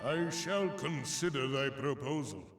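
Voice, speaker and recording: Ancient primal judge, solemn archaic oratory, distant, music behind, fantasy game. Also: male voice, mid-range voice, lively intonation, creaky. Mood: grave, testing. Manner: archaic, grave, deliberate oratory. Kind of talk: theatrical fantasy game voice acting. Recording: echoing voice under loud music, distant.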